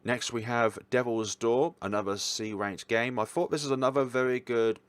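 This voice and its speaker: A man's voice: medium pitch, steady tone, normal speed, clear, resonant tone, no echo. A man giving casual, informal commentary as a reviewer, talking in a rambling way. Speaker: visual novel reviewer.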